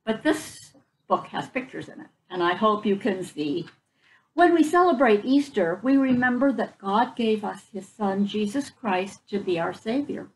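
A woman's voice: medium pitch, expressive, measured pace, rough recording. An older woman reading a picture book aloud to children outdoors, explaining it in simple words as she goes. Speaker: children's story reader. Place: outdoors on a front porch. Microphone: camera a few feet away.

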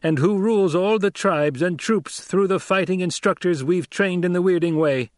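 A man voicing a young male desert leader who speaks forcefully and questions his listeners rhetorically, in an audiobook. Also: high voice, moderate pace, no room sound, clean studio sound, pitched above the performer's own voice, lightly acted. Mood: commanding. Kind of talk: audiobook character voice.

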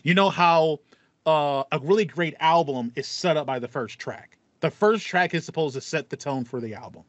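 Higher-voiced man chatting on a podcast. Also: moderate pace, dry recording. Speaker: podcast host. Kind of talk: casual gaming podcast chat. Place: small home room with foam panels. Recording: dull, lo-fi dry audio.

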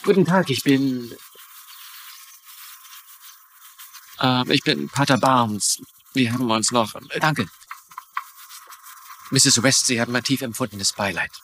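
An earnest, slightly awkward male priest in a dubbed TV drama. His voice is medium in pitch with a bright, forceful edge. He speaks hesitantly and sincerely over faint background noise. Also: unhurried. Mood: awkward, polite. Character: earnest, awkward substitute priest, gently urgent. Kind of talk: dubbed TV drama dialogue in German.

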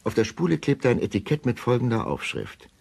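An actor playing a troubled middle-aged male doctor, narrating a crime radio play in a grave, measured, deliberate way. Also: medium pitch, big pitch swings, vocal fry, a little mellow. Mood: measured, sombre. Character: troubled male doctor recounting a suspicious death. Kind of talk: first-person radio drama narration.

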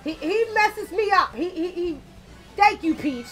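A young woman with a slightly raspy voice from being sick, chatting casually and swearing as she plays a racing game on a headset mic. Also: extremely high pitch, animated, measured pace, soft background music. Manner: chatty, swears often, loud outbursts at the game. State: a little sick. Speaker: gaming channel host. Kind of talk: casual let's-play commentary.

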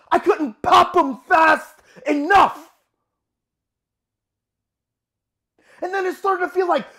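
Male actor as haunted young man for a dramatic monologue; intense, halting delivery with huge swings from murmur to anguished cry. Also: a little high, pitched below the performer's own voice. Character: tormented young man haunted by shaving, swinging from hushed to frantic.

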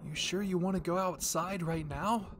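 A man voicing a sweet, excitable, doting cartoon hero in an anime-style character demo. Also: high-pitched, normal speed, background noise, close to an everyday voice. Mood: sweet, playful. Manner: cutesy, doting, dramatic.

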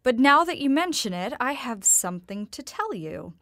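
A woman playing a devoted, gently worried mother in a nostalgic period audio drama, speaking in a genteel, tender and proper way. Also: fairly high pitch, big pitch swings, moderate pace, no echo, clean studio sound. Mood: proud, hopeful.

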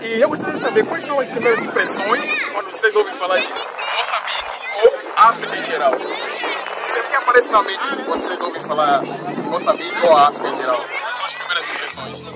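A man's voice: high voice, lively intonation, brisk, poor audio. A young Mozambican man with a Mozambican Portuguese accent, interviewing people on a beach in an upbeat, chatty way, on a lapel mic. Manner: upbeat, chatty, addresses viewers directly. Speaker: youtuber interviewer. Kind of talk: street interview. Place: sunny beach outdoors. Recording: outdoor beach recording with music and crowd noise. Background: beach crowd and music.

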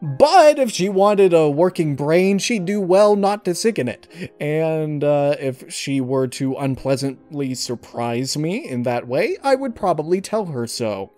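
A man giving casual interview answers in a halting, wordy way, often amused. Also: on the high side, sing-song, darkish tone. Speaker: interviewee, audio story reader. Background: music behind the voice.

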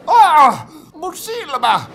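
Woman acting a high-pitched, furious beachgoer. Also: very animated, creaky, slight background noise, heavily acted. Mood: outraged. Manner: aggressive, yelling. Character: furious foul-mouthed beachgoer out for a fight.

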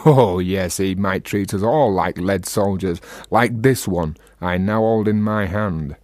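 An actor playing a talkative, teasing Yorkshire freethinker in a radio play, with a rhetorical, provocative manner. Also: male voice, sits low, unhurried, a little mellow, dry recording. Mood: musing, menacing.

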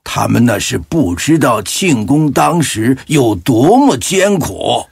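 Man acting a very raspy old villager. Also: medium pitch, sing-song, a little mellow, dry recording, clean studio sound, heavily acted. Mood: amused, certain. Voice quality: raspy, creaky. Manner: emphatic, unhurried storytelling. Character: certain, plain-spoken elderly lay Buddhist villager.

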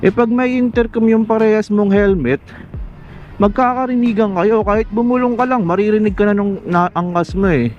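Higher-voiced Filipino man, casual vlogging. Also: moderate pace. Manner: conversational, colloquial, addresses viewer directly. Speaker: motovlogger. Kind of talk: casual explainer vlog. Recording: muffled lo-fi mic, music underneath.

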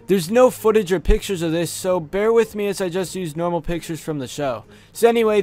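A man's voice: sits high, a little mellow. A man narrating scripted creepy stories as a video host, recorded on a close microphone. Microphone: close studio USB microphone. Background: music behind the voice.

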